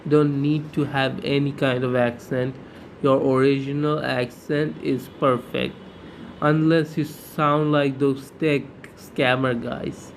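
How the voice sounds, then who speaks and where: A man's voice: mid-range voice, level tone, slow, creaky, a little hiss or hum. A man who is not a native English speaker, talking casually to his viewers in a chatty, informal way with frequent restarts. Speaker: YouTuber. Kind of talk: casual vlog talk to camera.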